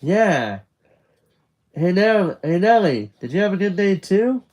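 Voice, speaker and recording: A man voicing an excitable, affectionate teenage boy in a story, exuberant and eager. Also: sits high, slow, voice pushed up, exaggerated voice. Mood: cheerful, chatty.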